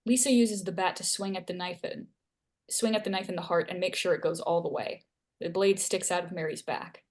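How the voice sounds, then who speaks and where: A woman's voice: lowish voice, fairly even intonation, fairly quick, a little mellow. A woman reading scene headings and stage directions aloud as narrator at a screenplay table read.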